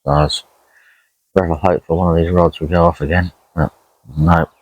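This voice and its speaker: A man's voice: low-pitched, little pitch movement, brisk, no echo, poor audio. A man chatting casually and unhurriedly as he narrates an outdoor fishing vlog by a lakeside, rambling as he goes. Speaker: angler vlogging a fishing trip.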